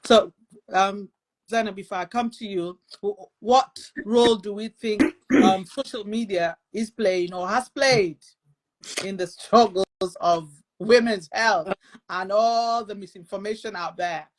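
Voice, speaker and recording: Woman from nigeria moderating a live chat, mid-pitched voice, kinda halting as she asks stuff. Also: poor audio. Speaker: host of a live discussion. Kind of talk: conversational moderating of a panel.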